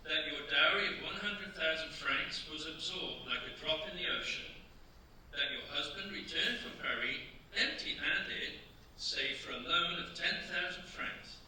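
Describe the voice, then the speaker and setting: A man's voice: fairly high pitch, expressive, pushed and bright, heavily acted. A man playing a stern, relentless French official in a staged radio play, speaking formally and accusingly. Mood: stern, probing. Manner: formal, accusatory, deliberate phrasing. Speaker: amateur radio play actor. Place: live theatre stage. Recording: echoey stage, distant mic, faint noise.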